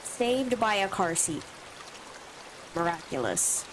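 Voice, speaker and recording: A woman's voice: mid-range voice, very animated, slow, background noise. A woman streamer with allergy sniffles, reading a visual novel's first-person text aloud with asides of her own. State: stuffy from allergies.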